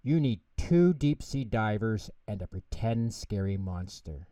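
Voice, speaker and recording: A man voicing a big, gentle male bear, patient, caring and reassuring, in a children's story. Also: fairly low pitch, lively intonation, unhurried, no echo, studio quality. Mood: patient, coaxing.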